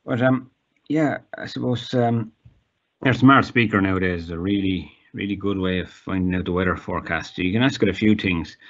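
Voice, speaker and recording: A man's voice: low-pitched, normal speed, a bit bright, highs cut off. An Irish man giving a casual, informal demonstration as a guest, with some hesitation. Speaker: guest demonstrating accessible technology.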